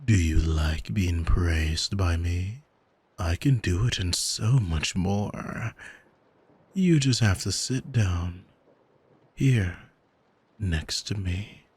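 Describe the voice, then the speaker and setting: An arrogant, seductive male demon played by a man in an ASMR audio drama. He speaks very slowly in a breathy, husky voice, condescending and teasing as he manipulates the listener. The sound is close and dry. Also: deep voice, a little mellow, dry recording, heavily acted. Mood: smug, coaxing. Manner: languid, teasing, commanding, condescending. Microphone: close microphone, dry.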